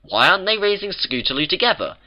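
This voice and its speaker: A man's voice: high voice, big pitch swings, quick pace, pushed and bright, highs cut off, pitched above the performer's own voice, heavily acted. A man voicing an exasperated, unhinged young female unicorn in a cartoon fan story. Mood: indignant.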